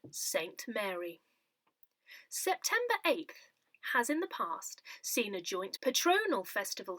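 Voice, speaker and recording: A woman reading a scripted newsletter aloud in a measured, clearly phrased way. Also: on the high side, a bit bright, no echo. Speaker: reader of an audio newsletter.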